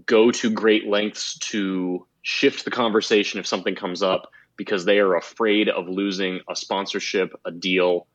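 Deep-voiced man, frank and reflective. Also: no room sound, muffled recording. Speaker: guest, motorsport site founder. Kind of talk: casual interview talk.

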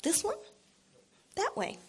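A woman giving a casual, enthusiastic talk to a church congregation, encouraging and emphatic, often laughing and sometimes getting loud. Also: takes it slowly, a little hiss or hum.

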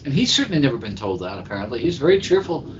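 Guy co-hosting a book podcast, really lively up-and-down voice, muffled with some rumble behind. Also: racing. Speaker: podcast co-host. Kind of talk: casual literary discussion. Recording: muffled internet call, clear background rumble.

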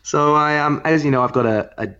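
A man's voice: on the high side, a little fast, sharp tone, highs cut off. A man hosting a podcast, talking casually about films and often repeating words as he searches for the next one. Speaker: podcast host. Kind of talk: casual film discussion.

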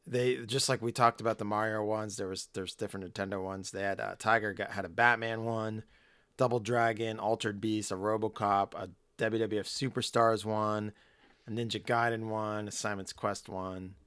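A man in casual chat, rambling with lots of fillers and swearing, hoarse as he loses his voice. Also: on the low side, little pitch movement, normal speed, fairly bright tone, no echo. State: losing his voice. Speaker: podcast co-host.

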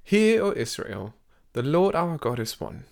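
A man giving scripted documentary narration for a podcast. He tells it in a measured way and lifts his voice for quoted speech. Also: on the high side, very animated, creaky.

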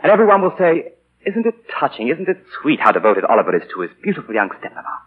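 A man's voice: medium pitch, big pitch swings, fast talker, breathy edge. Smug young man, old phone-like radio. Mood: smug, taunting. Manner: mocking, falsely courteous, theatrical. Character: smug, insinuating young man, a novelist's spoiled son. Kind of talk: 1940s radio acting. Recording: muffled, scratchy 1940 radio broadcast.

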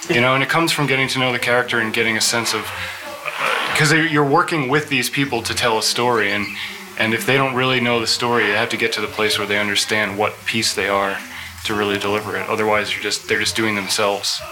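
A man's voice: lowish voice, steady tone, a little fast. Man on a noisy live panel. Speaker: audio drama producer and panelist. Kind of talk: casual panel discussion. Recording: noisy live room recording.

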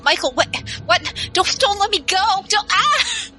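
An actress playing a giddy, greedy scavenger mercenary in an action audio drama. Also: female voice, extremely high pitch, huge pitch swings, unhurried, sharp tone, faint music behind, full character voice. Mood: terrified.

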